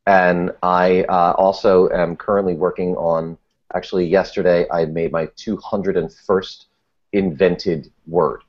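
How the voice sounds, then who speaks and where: A man with a low voice speaks as a guest in a casual interview. He is wry and self-deprecating, rambling through false starts. The recording is somewhat dull and lo-fi. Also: steady tone, unhurried. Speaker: podcast guest. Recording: dull, muffled lo-fi audio.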